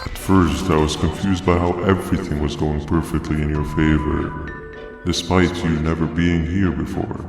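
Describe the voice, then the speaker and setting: An extremely deep, even-toned giant jellyfish monster delivers grandiose, coolly menacing video game monologues. The words are deliberate and theatrical, ringing in long reverb with music behind. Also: male voice, gravelly. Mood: smug, knowing.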